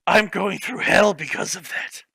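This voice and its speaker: A man's voice: sits high, unhurried, creaky, bright, forceful tone, husky, no echo. A man reading a clumsy fan story aloud, mocking it with sarcastic, swearing commentary. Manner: sarcastic, swears, laughs at the text.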